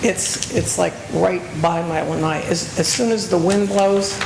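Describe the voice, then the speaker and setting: A woman's voice: lowish voice, unhurried, vocal fry. A woman who is losing her voice speaks earnestly and a little anxiously as a resident at a community meeting. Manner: earnest, anxious requests with restarts. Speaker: resident speaking at public comment. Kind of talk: public comment at community meeting. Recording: echoey meeting room with background noise.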